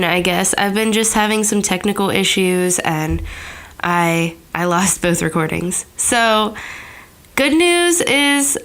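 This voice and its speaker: A woman's voice: medium pitch, fairly bright tone. Woman host, a bit nasal from a cold. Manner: chatty and encouraging, with small restarts. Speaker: podcast host. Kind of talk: casual podcast monologue.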